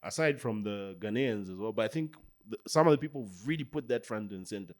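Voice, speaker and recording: A Zambian man hosting a casual panel chat, animated and often laughing. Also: lowish voice, fast talker. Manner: animated, laughs often, mimics people in quotes. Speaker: podcast host.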